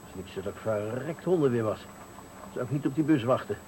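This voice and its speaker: A man's voice: medium pitch, expressive, fairly quick, darkish tone, close to an everyday voice. Radio thriller role: male actor as irritable, uneasy motorist stuck at night, defensive, faintly noisy recording. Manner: hesitant at times, testy, defensive. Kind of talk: 1980 Dutch radio thriller acting.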